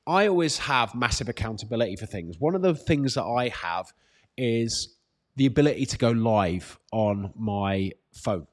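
A British man with a slightly congested voice, working as a fitness coach, answering questions casually and giving direct, encouraging advice with emphatic repetition. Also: medium pitch. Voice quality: slightly congested, bunged-up nose. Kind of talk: casual live Q&A, reading out questions and advising.

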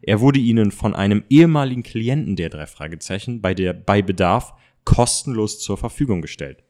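Low-voiced man narrating a story. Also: lively intonation, normal speed, darkish tone.

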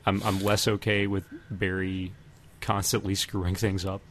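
Male co-host, low register, conversational and halting, slightly noisy recording. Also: little pitch movement. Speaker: podcast host. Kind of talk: casual TV show discussion. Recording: noticeable background noise.

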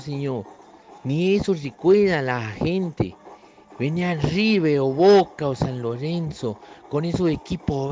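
Man doing a bitter old fan with a northern Argentine accent. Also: a little high. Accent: northern Argentine (put on). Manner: addresses the listener as "señor", bitter and mournful. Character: aging, bitter, nostalgic male football fan. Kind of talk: reading a short story aloud.

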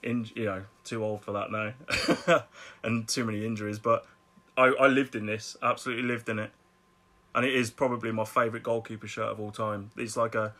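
A middle-aged man with a Birmingham accent, chatting casually as a podcast guest, laughing often and repeating words when excited. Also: lowish voice, brisk. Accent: Birmingham English. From Birmingham, England. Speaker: guest artist.

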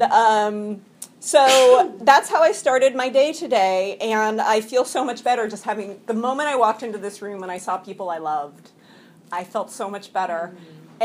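Nervous, halting woman speaking to a group. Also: medium pitch, a little hiss or hum. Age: around 40. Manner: halting, many fillers and restarts, laughs often. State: slightly sniffly from a cold. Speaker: recovery meeting speaker. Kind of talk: personal share at a support meeting. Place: meeting room with a group.

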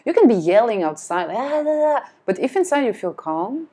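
A woman whose first language is Russian, chatting as a podcast guest in a lively, self-deprecating way. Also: on the high side, moderate pace, husky. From France. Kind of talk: casual chat.

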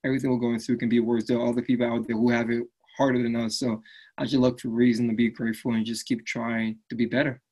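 A man's voice: medium pitch, level tone, fast talker, darkish tone. A man from Liberia, speaking earnestly and upbeat as an interview guest, often tagging lines with "right?". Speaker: fitness business owner, guest. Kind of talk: casual interview conversation.

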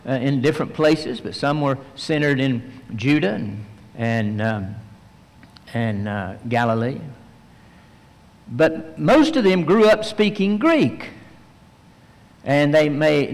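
A man preaching a verse-by-verse sermon, teaching slowly and with emphasis, pausing often with fillers. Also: a little high. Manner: slow, emphatic teaching with frequent pauses and fillers. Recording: long hall reverb.

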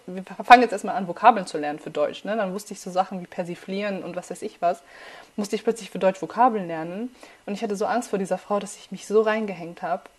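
A young woman in her twenties, a native Farsi speaker, tells her stories as a podcast guest. She talks casually and with animation, full of fillers and restarts, and her pitch sits in the middle range. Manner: animated, laughs while telling stories, many fillers. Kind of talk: casual storytelling.

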